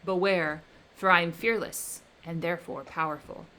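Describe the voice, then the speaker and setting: Woman reader voicing a lonely, menacing male creature: bitter, vengeful, declaimed loud. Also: medium pitch, lively intonation, normal speed, low-quality recording.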